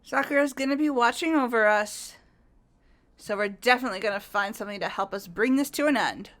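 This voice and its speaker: A woman voicing an earnest, energetic teenage girl in a video game, forthright and emphatic. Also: fairly high pitch, fairly quick, natural voice. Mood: determined. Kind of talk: amateur voice acting of a video game.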